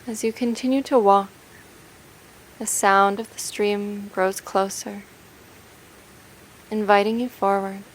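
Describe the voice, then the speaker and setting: A woman narrating a guided meditation in a gentle, soothing, unhurried way, leaving long pauses between phrases. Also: mid-range voice, fairly even intonation, a little hiss or hum, rough recording.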